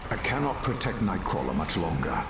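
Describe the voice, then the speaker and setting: A formal wise mentor, lowish and lively, echoey with hiss. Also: male voice, brisk. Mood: urgent. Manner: formal, authoritative. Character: calm wise male telepathic mentor, urgent.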